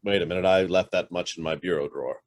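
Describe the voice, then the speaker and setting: A man's voice: deep voice, steady tone, fast, noisy background, lightly acted. A man playing a curt, wary fugitive master who gives his valet clipped orders in a radio play. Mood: curt, guarded. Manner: clipped, commanding questions. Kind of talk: amateur radio play acting.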